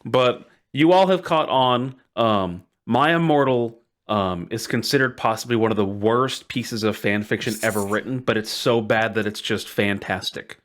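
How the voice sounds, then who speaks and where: A man's voice: fairly low pitch, normal speed, few pauses, fairly bright tone. A man co-hosting a podcast, casually recounting internet lore in an amused, hyperbolic way. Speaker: podcast co-host.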